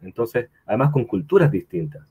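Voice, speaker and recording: A man's voice: mid-range voice, big pitch swings, brisk, mellow, husky. A Chilean man with a Chilean accent giving a conversational webinar talk, often restarting phrases and tagging them with "¿vale?". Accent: Chilean, slightly mixed after living in Colombia. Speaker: guest speaker, consultancy founder.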